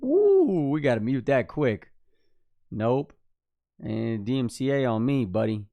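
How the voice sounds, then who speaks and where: A man hosting a livestream reaction, raspy-voiced, giving casual, slangy commentary. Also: mid-range voice, big pitch swings, a little slow, no room sound, highs cut off. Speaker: livestream host.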